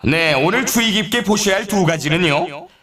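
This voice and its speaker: A showy snowboard race announcer calls the competition with eager, theatrical drama. His voice sits high and moves animatedly up and down. It rings with roomy echo, like scripted arcade game commentary. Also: expressive, normal speed, a crowd in the background. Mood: excited, suspenseful. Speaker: sports announcer. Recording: roomy echo, distant from mic.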